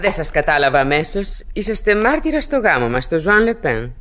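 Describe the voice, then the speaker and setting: A cool sly schemer, lowish lively voice, radio drama. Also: female voice. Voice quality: sly, ironic. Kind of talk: Greek radio theatre acting. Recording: old radio recording.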